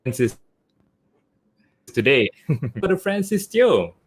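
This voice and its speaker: A man's voice: mid-range voice, sing-song, slow. Man hosting a conversational podcast. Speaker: podcast host. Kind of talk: conversational interviewing.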